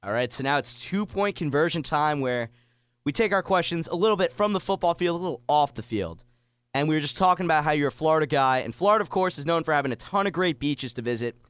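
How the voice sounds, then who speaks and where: Young man, sports radio interviewer. Crisp mid-pitched voice, dry recording. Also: animated, fast, fairly bright tone, no echo. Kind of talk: pre-game radio interview.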